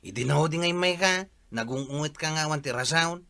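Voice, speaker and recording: Annoyed Ilocano scolder, very forceful sharp voice, a touch high, radio comedy. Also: male voice, normal speed. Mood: scolding. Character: annoyed man scolding a loiterer at terminal.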